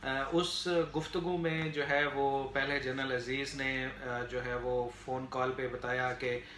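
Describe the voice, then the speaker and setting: A man with a Pakistani accent giving unscripted political commentary to viewers in a halting, explanatory way, with frequent filler phrases. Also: mid-range voice, level tone, bright, forceful tone. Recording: echoey room, faint background noise.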